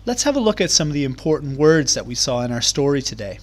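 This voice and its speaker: A man reading aloud to young children as a teacher, explaining things in clear, simple terms. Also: medium pitch, very animated, fast. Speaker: primary school teacher. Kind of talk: children's read-aloud lesson.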